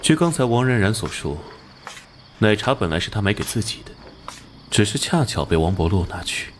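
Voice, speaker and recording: A man acting an earnest, authoritative police detective captain in a Chinese animated crime drama. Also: on the low side, a little hiss or hum. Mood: analytical. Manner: authoritative, brisk, serious.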